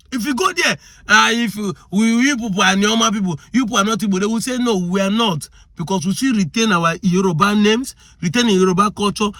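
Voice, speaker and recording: A man's voice: high voice, sharp tone, no room sound, clean studio sound. A man with a Nigerian accent giving impassioned commentary, arguing hard and firing off rhetorical questions. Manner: argumentative, fires rhetorical questions.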